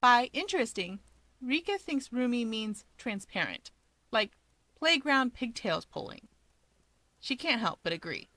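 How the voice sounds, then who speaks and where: A woman reading a story aloud in an expressive narrating style. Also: fairly high pitch, unhurried, dry recording. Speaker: fan fiction reader.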